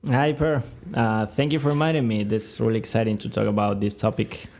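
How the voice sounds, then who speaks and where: Guy late 20s, spanish accent, kinda low creaky voice, halting thoughtful interview answers. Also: fairly even intonation, darkish tone. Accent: Spanish-speaking. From Argentina. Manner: hesitant, searching for words. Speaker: podcast guest. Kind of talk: reflective interview talk.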